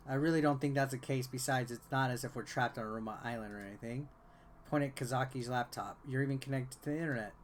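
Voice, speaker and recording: A man's voice: mid-range voice, fast talker, a little hiss or hum. A man doing casual, bored-sounding gameplay commentary, reading dialogue aloud and adding sarcastic asides. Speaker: video game reviewer.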